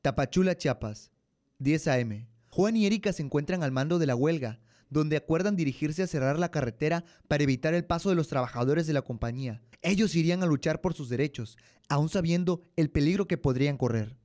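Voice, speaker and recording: A man's voice: fairly high pitch, lively intonation, fairly quick, a little mellow, no echo, studio quality. A young man with a Mexican accent narrating a scripted radio drama. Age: young adult.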